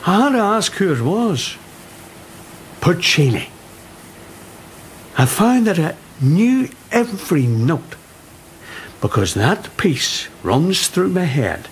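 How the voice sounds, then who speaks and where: A man's voice: medium pitch, very animated, takes it slowly, pauses often, slight background noise. An actor in a radio drama playing a chatty mill foreman who tells ghost stories. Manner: chatty, storytelling. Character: middle-aged foreman, friendly storyteller. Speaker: Mister Jennings, mill foreman.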